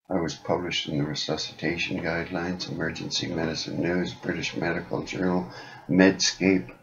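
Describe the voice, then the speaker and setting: A very low-voiced man speaks as a caller pressing his concerns in an informal way. He is insistent and rambles, on a muffled, lo-fi phone recording. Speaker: caller making a complaint. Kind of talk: phone call, informal advocacy talk. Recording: dull lo-fi phone call recording.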